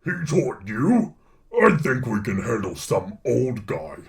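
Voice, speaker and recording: Gravelly, brash symbiote monster. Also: male voice, medium pitch, big pitch swings, takes it slowly, distant mic. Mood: sarcastic. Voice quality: gravelly, growling. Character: menacing, brash, sarcastic alien symbiote monster, male.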